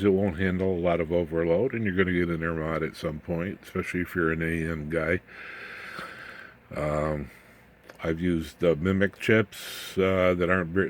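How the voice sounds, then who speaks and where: Male, low-pitched hobbyist explainer; folksy, slangy, informal technical talk that rambles. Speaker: electronics hobbyist presenter.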